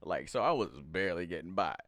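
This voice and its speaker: A man's voice: sits low, animated, fast talker, fairly bright tone, no echo, studio quality. A man in his twenties from Alabama hosting a casual chat, rambling with lots of fillers and frequent laughter. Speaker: podcast host.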